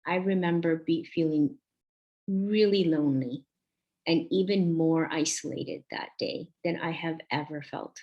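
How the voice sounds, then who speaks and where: A woman in her forties, born in Vietnam, speaks in a low voice and takes her time. She tells a personal story to a conference audience and pauses often with soft ums. Her delivery stays slow and thoughtful. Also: muffled recording. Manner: slow, reflective, with frequent ums.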